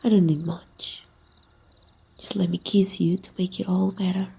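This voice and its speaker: A woman from Mexico voicing a teasing, tender female villain in an intimate ASMR roleplay, soft and breathy with some whispering. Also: fairly low pitch, flat delivery, unhurried. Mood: seductive, intimate.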